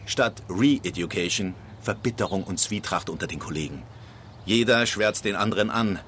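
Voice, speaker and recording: Over a noticeably noisy ambience, a low-pitched, cynical male screenwriter delivers clipped reports. He is brash and telegram-like, in the manner of a postwar radio play. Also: moderate pace, a bit bright. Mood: brisk, sardonic. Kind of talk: German radio drama acting with narrated reports.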